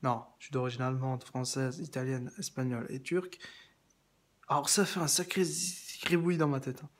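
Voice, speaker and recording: A man's voice: medium pitch, steady tone, a little fast. A man talking straight to one absent person in a long, meandering monologue, repeating himself and restarting often. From France. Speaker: video blogger addressing someone. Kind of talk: rambling direct-address monologue.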